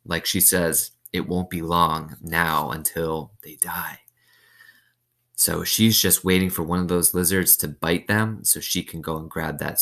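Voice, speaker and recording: A man's voice: sits low, a bit bright. A man reading a novel aloud with suspense, explaining it in casual asides.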